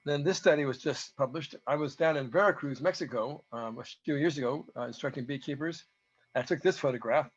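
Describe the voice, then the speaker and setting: A man with a hoarse voice gives an informal, halting technical presentation, explaining data as a researcher. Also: mid-range voice, slight background noise. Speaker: presenter, researcher giving a talk to beekeepers. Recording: dull, lo-fi audio.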